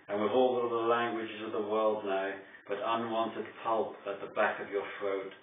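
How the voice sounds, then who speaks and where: A man gives a solemn poetry reading in deliberate, declamatory phrases broken by dramatic pauses. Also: on the low side, a little hiss or hum. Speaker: poet reading own work. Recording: distant, very echoey, thin phone-like sound.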